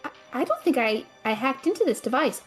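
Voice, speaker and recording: A woman's voice: high voice, very animated, brisk, breathy edge, faint music behind, full character voice. A young woman playing an anxious, studious tech whiz student in a fantasy audio drama, speaking with earnest precision. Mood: analytical, curious.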